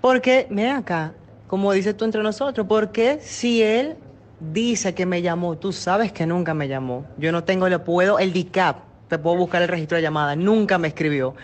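A woman's voice: on the low side, brisk, darkish tone. A Dominican woman in her thirties talking casually on a phone call, insistent and aggrieved as she complains. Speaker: talk show host. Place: on a talk show set with armchairs.

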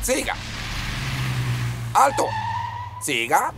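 Stern cartoon official, drawn-out commands. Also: male voice, very high voice, wildly swooping pitch, heavy background noise, full character voice. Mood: commanding. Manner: barking short commands. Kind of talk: animated children's cartoon dub. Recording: loud music over the voice.

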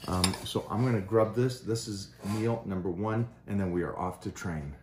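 A man's voice: on the low side, normal speed, noisy background. Easygoing man chatting at a kitchen counter. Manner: easygoing, explains his meal plan between bites. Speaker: bodybuilder vlogger. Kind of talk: casual chat over breakfast. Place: home kitchen, indoors.

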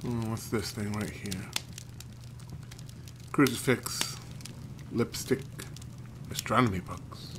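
A man's voice: on the low side, slow, pauses often, noisy background. A man streaming a horror game, chatting and reading in-game notes aloud, sometimes stumbling over names. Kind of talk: let's play commentary and reading notes aloud.

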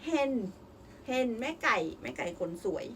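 A woman's voice: measured pace, a bit bright. A young mother reading a picture book aloud with her child, asking encouraging sing-song questions. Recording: voice picked up from a distance with faint noise.

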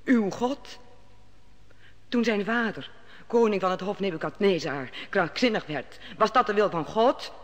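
A woman's voice: mid-range voice, animated, a little slow, lightly acted. An actress playing a middle-aged queen in an old radio drama, anxious, questioning and commanding. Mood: urgent. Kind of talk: 1950s-60s radio drama acting. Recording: old radio play with long reverb and faint hiss.

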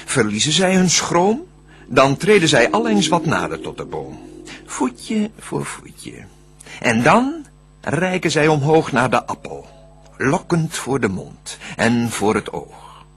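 An actor playing a cunning, smooth-tongued devil in a Dutch verse radio drama, declaiming deliberately with a husky voice. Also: male voice, mid-range voice, huge pitch swings, a bit bright, faint music behind, heavily acted. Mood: slyly plotting. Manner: theatrical, scheming, deliberate declamation. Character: cunning, smooth-tongued male devil plotting to tempt mankind.

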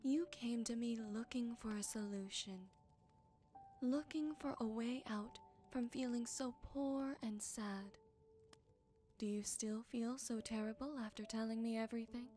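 Wise forest witch played by a woman for ASMR roleplay: fairly high voice, tender, very unhurried, caring with a soft tease. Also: faint music behind, close to an everyday voice. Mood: tender, reassuring.

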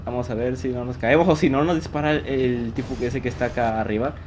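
A man giving lively commentary over his own online game, laughing often and mocking himself when he fails. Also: mid-range voice, fast. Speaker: gaming youtuber. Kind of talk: live gameplay commentary. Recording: game audio noise behind the voice.

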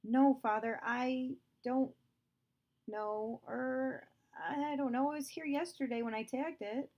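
A woman reading aloud, voicing an anxious son who hesitates and stammers, pitched higher than her narration. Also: lively intonation, normal speed, dull audio. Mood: nervous. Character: anxious young son caught in a lie.

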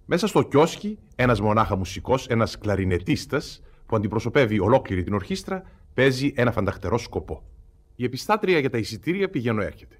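A man's voice: mid-range voice, very animated. A man narrating a radio play as a scripted storyteller, setting out the scene.

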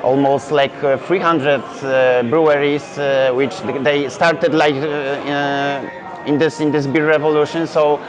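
A man's voice: on the high side, flat delivery, unhurried. A young man with a Polish accent, a native Polish speaker, answering interview questions in English with many fillers and restarts. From Poland. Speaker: brewery founder. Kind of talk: festival interview. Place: indoor beer festival hall. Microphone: lapel mic. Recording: dull lo-fi sound with festival crowd chatter.

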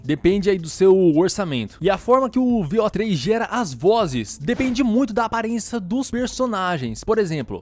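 High-pitched Brazilian man hosting a tutorial. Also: a little mellow. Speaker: tutorial host. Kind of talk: narrated software tutorial with casual asides.

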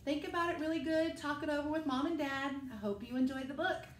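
A middle-aged woman, a school teacher, reading a picture book aloud to children and speaking to them directly in an animated way. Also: high-pitched, fast talker. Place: living room at home, indoors. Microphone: camera a few metres away. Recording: echoey room with faint noise.

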